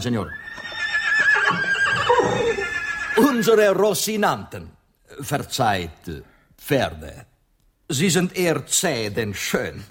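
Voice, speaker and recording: A man acting in a radio play as a courteous, talkative Spanish gentleman who guides foreign visitors. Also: on the high side, husky, exaggerated voice. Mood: courteous, wry. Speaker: actor.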